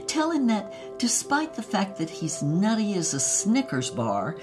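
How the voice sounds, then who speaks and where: A woman's voice: medium pitch, huge pitch swings, measured pace, over music. A woman playing a wry, brassy showgirl who tells her own story in theatrical, vivid dramatized narration. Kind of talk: first-person dramatized narration.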